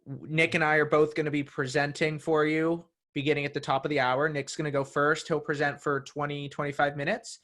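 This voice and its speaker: A man hosting an online writing workshop, upbeat and energetic as he introduces the session. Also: a little high, a little fast, hardly any pauses. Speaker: workshop host. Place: San Francisco.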